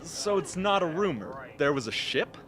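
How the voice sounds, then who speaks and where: A man's voice: fairly high pitch, very animated, normal speed, a crowd in the background. A man playing an incredulous, scoffing polar expedition crewman in a radio drama. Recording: clear background noise.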